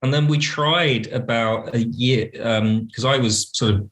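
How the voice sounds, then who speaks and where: A man's voice: mid-range voice, measured pace, fairly bright tone, echoey room, rough recording. A British man speaking conversationally as an interview guest on a podcast.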